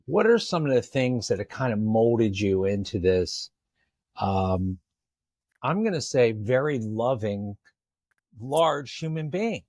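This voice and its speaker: A man's voice: mid-range voice, sing-song, takes it slowly, darkish tone, no room sound, studio quality. A man hosting an interview, speaking admiringly and often repeating words as he starts a thought. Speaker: podcast host. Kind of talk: casual interview chat.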